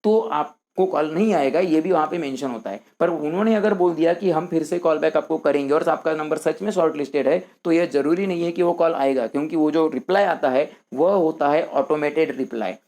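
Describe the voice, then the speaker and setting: A man's voice: on the high side, darkish tone. A man hosting an explanatory tutorial, talking instructively to viewers as friends and repeating his points. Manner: repetitive, instructive, addresses listeners as friends. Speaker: host of a quiz-show guidance video.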